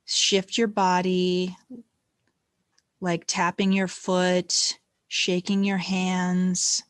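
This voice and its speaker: A woman leading a guided meditation in a gentle, calm, unhurried manner with long pauses between phrases. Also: lowish voice, clear, resonant tone, no echo.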